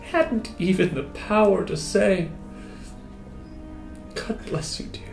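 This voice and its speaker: A wistful wounded soldier, played by a man in his thirties recites a dramatic monologue in a slightly high voice. He is theatrical and halting, leaving long pauses. The room echoes and music plays behind him. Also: gravelly, heavily acted. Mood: awed, moved. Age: 30s. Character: wounded Canadian soldier, disfigured, wistful, lovestruck, grateful. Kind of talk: dramatic poetry recital, first-person monologue. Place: small indoor room with hard walls. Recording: roomy echo, music behind.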